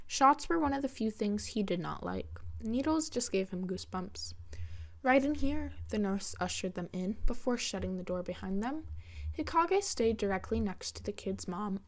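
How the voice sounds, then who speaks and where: A woman's voice: mid-range voice, a little mellow. A woman reading fan fiction aloud as scripted story narration. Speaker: fan fiction audiobook reader.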